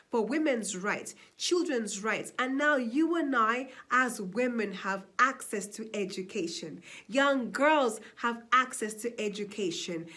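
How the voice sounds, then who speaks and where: A woman's voice: high voice, a bit bright. A woman from Zambia giving a motivational address, emphatic and asking rhetorical questions she answers herself. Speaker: summit speaker.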